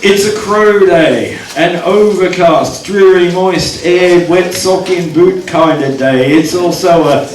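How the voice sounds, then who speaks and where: A man's voice: sits high, slow, few pauses. Man, spoken-word poet, wry asides, echoey venue, distant mic, audience. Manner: wry asides, counts down his time aloud. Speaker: poet performing. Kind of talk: live spoken-word poetry reading.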